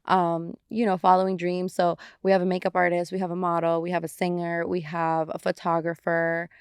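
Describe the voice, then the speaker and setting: Upbeat female podcast guest, low voice with gravelly edge, dry studio sound. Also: completely flat delivery, brisk, no echo. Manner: enthusiastic, upbeat storyteller. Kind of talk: casual chat.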